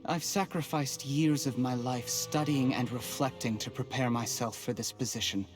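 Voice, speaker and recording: An actor playing a cold, ambitious young official in a game cutscene, speaking in a calm, smug, measured way. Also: male voice, medium pitch, music in the background, natural voice. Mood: bitter.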